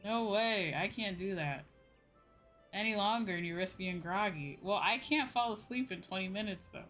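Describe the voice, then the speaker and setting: A woman's voice: medium pitch, moderate pace. A young woman chatting casually and playfully with viewers on a livestream as a game streamer. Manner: animated, playful, conversational. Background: music.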